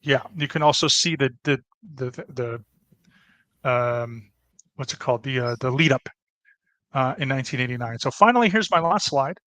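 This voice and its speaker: Man presenter, chatty history rundown, lots of ums, dry sound. mid-pitched, loose delivery. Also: moderate pace, pushed and bright, dry recording. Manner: rambling, many fillers and restarts. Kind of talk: casual podcast presentation.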